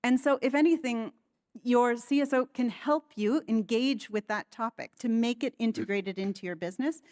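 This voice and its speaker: An American woman on a conference panel speaking in a measured, articulate way, politely challenging a point. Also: on the high side, a little mellow, no room sound, studio quality. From United States. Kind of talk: panel discussion.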